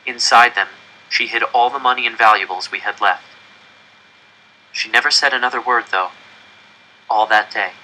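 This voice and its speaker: A man reading a first-person story aloud in an expressive, dramatic storytelling style. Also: fairly low pitch, low-quality recording. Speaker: audiobook reader.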